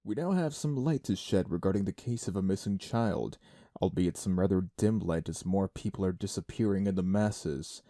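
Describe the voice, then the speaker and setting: A serious male news anchor played by a man with a lowish, mellow voice. He reads formally and measuredly in a dry, close recording. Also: normal speed, no room sound. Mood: grave. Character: grave male TV news anchor reporting disappearances. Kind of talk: scripted news narration.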